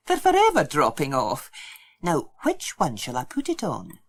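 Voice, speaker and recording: A woman's voice: sits low, huge pitch swings, normal speed, full character voice. A woman voicing a fussy, kindly village postmistress who chats and exclaims in a children's storybook. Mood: fussy, puzzled. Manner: chatty, exclaiming. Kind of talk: dramatised children's storybook reading.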